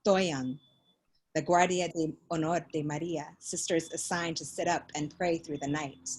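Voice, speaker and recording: A woman reading her own poem aloud on a video call, measured and incantatory. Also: low voice, breathy edge. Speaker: workshop participant.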